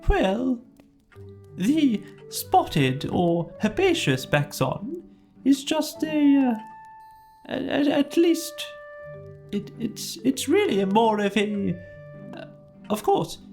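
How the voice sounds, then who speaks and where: A woman's voice: mid-range voice, very animated, extremely slow, pauses often, a little mellow, faint music behind, voice pushed up, exaggerated voice. A woman voicing a pompous owl who poses as learned, ponderous and hesitant, in a children's story. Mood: flustered bluffing. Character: pompous owl posing as learned, easily flustered.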